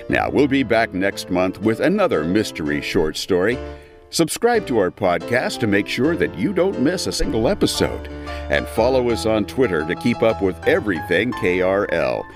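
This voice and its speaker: Male podcast announcer, very lively intonation, lowish voice, scripted credits over clear blues guitar. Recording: guitar theme music under voice. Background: blues guitar theme music.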